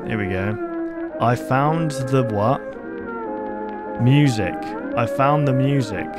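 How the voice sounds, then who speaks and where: A man with a medium-pitched voice reacts casually as a video host. He talks informally, thinks aloud and swears mildly. Loud music plays behind his voice. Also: takes it slowly.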